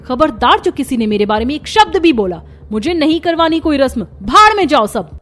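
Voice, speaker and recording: Haughty young city bride, high-pitched woman acting. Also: sing-song, fairly quick, a bit bright. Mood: angry, indignant. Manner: haughty, demanding, mixes in English words. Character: spoiled, brash young modern city daughter-in-law. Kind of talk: Hindi cartoon story dialogue.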